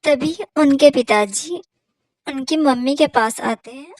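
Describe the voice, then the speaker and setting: A woman reading scripted storybook narration for an animated cartoon. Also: sits high, slow pace, no room sound.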